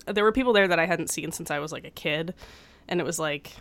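A woman's voice: low-pitched, fast, fairly bright tone. A woman in her twenties co-hosting a casual podcast, getting over a cold and telling a story with lots of fillers. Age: 20s. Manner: chatty, many fillers. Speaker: podcast co-host. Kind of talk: casual chat. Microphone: studio microphone.

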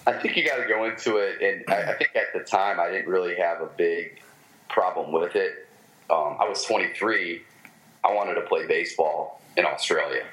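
A man with a Michigan American accent, a former pro baseball player telling stories in a casual interview, halting with many fillers and restarts. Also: deep voice, fairly bright tone, poor audio.

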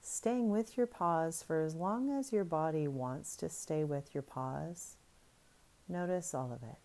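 A woman guiding a breathing meditation in soft, soothing, unhurried instructions. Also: low-pitched. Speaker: meditation guide.

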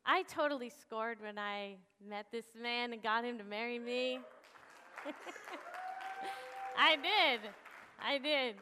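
A woman preaching a storytelling sermon in a church, animated, laughing often and acting out dialogue. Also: on the high side, takes it slowly, long pauses, music in the background, a crowd in the background. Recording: long reverb of a large hall.

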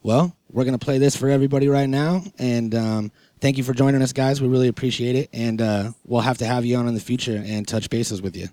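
A man's voice: mid-range voice, steady tone, quick pace, hardly any pauses, warm tone, no echo. A man hosting a podcast in casual, informal, slangy chat. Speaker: podcast host.

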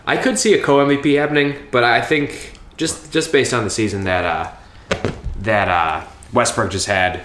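A man co-hosting a casual sports podcast, relaxed and jokey, talking from inside a house. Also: mid-range voice, normal speed. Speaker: podcast co-host. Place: house, indoors. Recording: echoey room with faint noise.

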